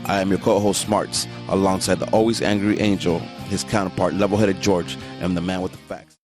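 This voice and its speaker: A man's voice: deep voice, level tone, a little fast, darkish tone, soft background music. A man reading a scripted podcast intro in a laid-back announcing manner. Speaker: podcast co-host.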